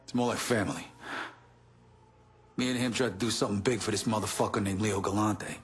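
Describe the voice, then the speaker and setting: A man's voice: fairly low pitch, steady tone, fairly quick, soft background music, natural voice. A man acting a weary, profane middle-aged gangster in a video game, talking bluntly and streetwise. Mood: shaken, grieving. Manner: blunt, streetwise, heavy swearing.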